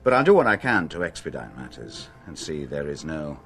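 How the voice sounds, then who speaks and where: A man's voice: very deep voice, very animated, a little fast, fairly bright tone, faint music behind, full character voice. A man acting a wry, put-upon police detective in a radio drama, with a dry, sardonic manner. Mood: dry, businesslike. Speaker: lead actor in a radio drama.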